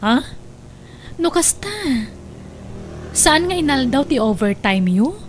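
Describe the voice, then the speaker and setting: A fairly high female voice plays a worried wife and mother in a domestic radio-style drama. Her delivery is emotive and sighing, over slight recording noise. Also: sing-song, takes it slowly, exaggerated voice. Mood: suspicious. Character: suspicious, worried wife and gentle mother of young children. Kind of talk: Ilocano audio drama acting.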